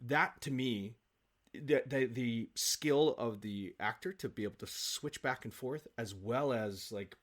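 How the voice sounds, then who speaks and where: A man's voice: medium pitch, unhurried. Enthusiastic man chatting as an actor guest. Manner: effusive, animated storytelling with acted-out quotes. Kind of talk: casual podcast conversation.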